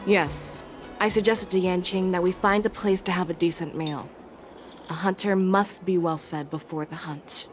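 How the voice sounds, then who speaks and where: Casting: woman as a commanding game warrior general, lower register, wry, self-assured, music behind. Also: steady tone, moderate pace, lightly acted. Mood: easygoing. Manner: composed, assured, teasing. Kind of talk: acted game story dialogue.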